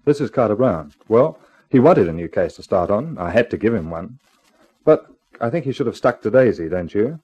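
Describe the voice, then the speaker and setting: A husky-voiced man giving a scripted sign-off as host of a vintage radio show. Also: on the low side, very animated, moderate pace, warm tone, dry recording, highs cut off. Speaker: author-host. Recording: dry vintage radio recording. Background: faint music.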